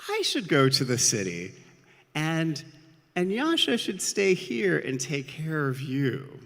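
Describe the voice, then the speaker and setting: A haughty young would-be queen from a live folktale, acted out by a man. The delivery is imperious, emphatic and impatient, raised above plain talk with a forceful edge. It rings with long hall reverb. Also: a little high, huge pitch swings, slow, vocal fry, voice pushed up, full character voice. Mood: eager.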